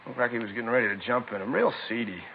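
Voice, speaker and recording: Tinny old radio actor as aggrieved store manager. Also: male voice, mid-range voice, very fast, lightly acted. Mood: obliging. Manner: colloquial, fragmented, aggrieved. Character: chatty, put-out male liquor store manager, robbery victim. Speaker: witness. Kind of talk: 1950s radio drama acting, naturalistic. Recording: lo-fi noisy 1950s radio recording.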